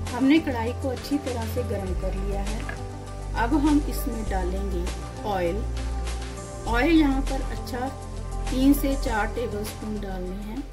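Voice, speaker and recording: A woman hosting a cooking channel, giving a step-by-step recipe voice-over in short, instructive phrases. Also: on the high side.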